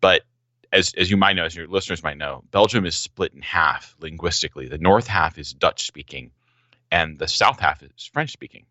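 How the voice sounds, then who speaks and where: A man's voice: low voice, normal speed, sharp tone, dry recording. A young man guesting on a podcast, telling stories with enthusiasm and a few ums. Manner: enthusiastic storyteller, some ums. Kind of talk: casual podcast conversation.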